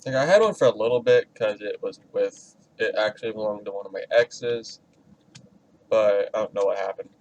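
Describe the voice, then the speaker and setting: A man's voice: lowish voice, moderate pace, a little mellow, low-quality recording. A man giving casual gameplay commentary as a streamer, talking informally with rambling asides. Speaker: gaming streamer.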